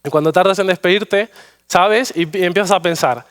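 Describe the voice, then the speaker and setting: A man with a Madrid Spanish accent gives a church sermon, his voice crisp and smooth and pitched a touch high. He mixes personal stories and jokes at his own expense into the preaching. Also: normal speed. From Spain. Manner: warm storytelling, self-deprecating humor. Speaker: guest preacher. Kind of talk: anecdotal sermon with prayer. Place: church sanctuary.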